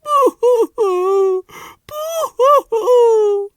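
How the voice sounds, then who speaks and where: A man's voice: extremely high pitch, animated, drawn-out words, a bit bright, no echo, studio quality, voice pushed up, heavily acted. A man voicing a self-pitying, melodramatic female rose who laments theatrically in a children's story. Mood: woeful sobbing.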